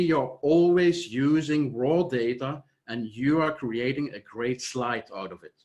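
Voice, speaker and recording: Measured Flemish man presenting. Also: medium pitch. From Flanders, Belgium. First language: Dutch. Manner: measured, explanatory, trails off with "et cetera". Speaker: software company founder presenting. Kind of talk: online conference presentation.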